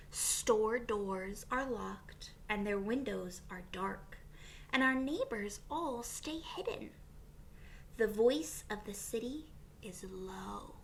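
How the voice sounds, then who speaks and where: A woman reading a picture book aloud to young children as a teacher would, expressively, with pauses and questions for her listeners. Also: mid-range voice, vocal fry, a bit bright, slight background noise.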